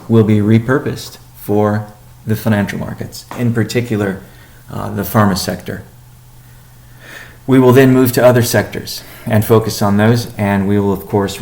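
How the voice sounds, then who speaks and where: A man giving a company update on a conference call as its chief executive, speaking in a measured, halting way with deliberate pauses. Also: on the low side, creaky, a little mellow. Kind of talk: business conference call presentation.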